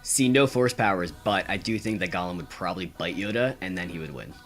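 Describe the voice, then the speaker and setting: A man's voice: sits low, lively intonation, brisk, a bit bright, soft background music. A man answering quiz questions casually as a podcast guest, thinking aloud as he goes.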